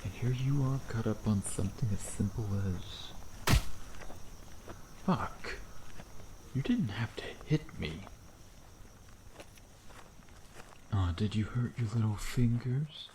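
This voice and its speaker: A man playing a sardonic, teasing vampire in an intimate ASMR roleplay, with a low, gravelly voice and an unhurried, predatory manner. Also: dark tone, a little hiss or hum, lightly acted. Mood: mocking. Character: teasing, sardonic male vampire, a century old, youthful predator.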